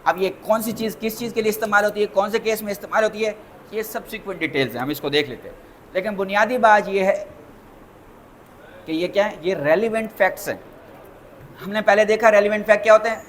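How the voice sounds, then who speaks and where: A man's voice: high voice, fast, fairly bright tone, a little hiss or hum. A man with a Pakistani accent giving an interactive lecture as a lawyer, repeating points and asking rhetorical questions, mixing in English terms.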